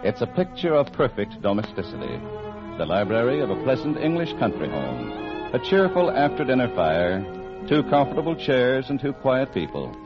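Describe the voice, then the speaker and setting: A man's voice: medium pitch, wildly swooping pitch, moderate pace. A man announcing and narrating in a formal, polished 1940s radio style, reading from a script. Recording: dull vintage radio broadcast recording. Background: loud music.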